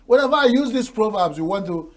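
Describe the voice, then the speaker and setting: A man's voice: high voice, lively intonation, fast. An older Nigerian man whose first language is Igbo, giving folksy TV talk show commentary full of proverbs and chuckles. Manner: folksy, proverb-laden, laughs at his own jokes.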